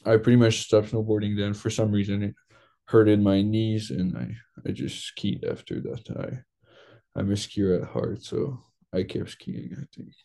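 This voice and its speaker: A man's voice: fairly low pitch, a little slow, vocal fry, mellow. A young man from Quebec, a guest in a casual interview, talking loosely with lots of fillers. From Quebec, Canada. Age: young adult.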